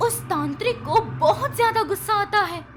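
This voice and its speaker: Very high-pitched woman storyteller. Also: animated, normal speed, noisy background. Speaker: story narrator. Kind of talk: scripted children's story narration. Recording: music behind the voice.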